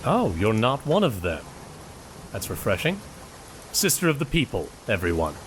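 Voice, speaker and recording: A man's voice: fairly low pitch, wildly swooping pitch, moderate pace, slight background noise. A man playing a sardonic street agitator. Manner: mocking, sardonic and confrontational. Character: defiant male crowd leader, a sardonic man of the people. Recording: rain ambience behind the voice.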